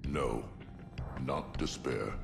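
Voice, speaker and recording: An actor playing a solemn, brooding sorcerer and older brother in a video game, speaking formally and gravely over music. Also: male voice, rumbling low, drawn-out words, heavily acted. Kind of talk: theatrical video game cutscene acting.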